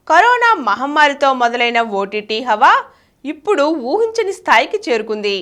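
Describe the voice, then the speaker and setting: A woman reading a current-affairs article as scripted narration, in a formal, clearly phrased way. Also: high voice, fairly bright tone.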